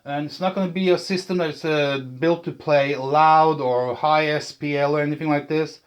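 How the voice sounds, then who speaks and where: A man talking casually and unscripted about a hobby build, thinking aloud in a hesitant, halting way as he presents. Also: on the high side, steady tone, clear, resonant tone. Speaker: channel host, speaker builder.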